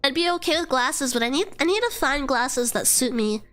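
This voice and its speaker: A young woman with a high, nasal voice, stuffed up from a cold, chatting loosely on a live stream. She meanders and curses casually, leaning on "like" between thoughts. Soft music plays faintly underneath. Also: lively intonation, a little fast. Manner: chatty, swears freely, trails into fillers. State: congested from a cold. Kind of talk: casual rambling stream chat.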